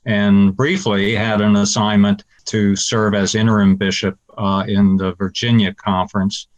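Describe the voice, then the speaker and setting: Flat, low-voiced older man. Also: unhurried, lo-fi sound. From Pittsburgh. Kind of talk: personal reflection.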